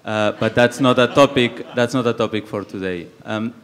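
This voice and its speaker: A man's voice: medium pitch, normal speed. A man from Barcelona with a Catalan Spanish accent, speaking earnestly on a debate panel with many fillers and restarts. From Spain, Barcelona. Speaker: panelist, campaigner.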